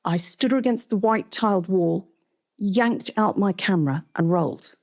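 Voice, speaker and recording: A woman's voice: mid-range voice, normal speed, darkish tone, natural voice. Urgent, impassioned woman journalist, as read by an author. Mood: excited. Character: Zandy, impassioned, incredulous female TV journalist. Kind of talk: dramatic first-person book reading.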